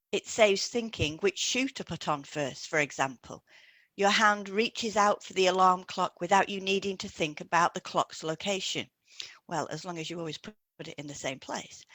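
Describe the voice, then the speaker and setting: A woman co-hosting an educational podcast, reading scripted explanations in a measured way. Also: mid-range voice, fairly bright tone, no room sound. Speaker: podcast co-host, hypnotherapist.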